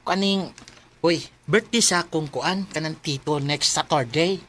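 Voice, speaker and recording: A brash young female best friend in Cebuano radio drama, with a lowish, slow voice. She is teasing, blunt and gossipy, over clear background noise. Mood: coaxing. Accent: Cebuano Filipino. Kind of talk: Bisaya radio drama acting.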